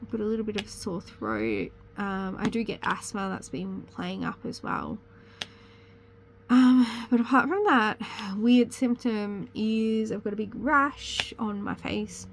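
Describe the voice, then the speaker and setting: A woman's voice: mid-range voice, lively intonation, measured pace, a little hiss or hum. Chatty, slightly sniffly woman. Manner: rambling, chatty, self-correcting. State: sniffly with a cold. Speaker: crafting channel host. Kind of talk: casual chat to camera.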